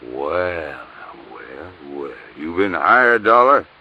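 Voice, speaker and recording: An American man playing a big, gruff, sardonic police detective in a 1950s radio drama, drawling and repeating himself wearily. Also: low voice, big pitch swings, drawn-out words, a little hiss or hum, heavily acted. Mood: sardonic, needling. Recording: muffled vintage radio recording.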